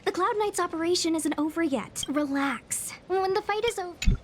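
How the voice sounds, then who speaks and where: A young game character with an extremely high voice. She talks in a casual, clipped way over faint noise. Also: normal speed. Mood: casual, reassuring. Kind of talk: English video game voice acting.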